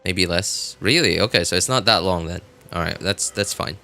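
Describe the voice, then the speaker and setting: Clear, bright low-voiced man rambling on stream. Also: soft background music. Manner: rambling, halting, repeats himself. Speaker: live streamer. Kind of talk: casual live game stream chat.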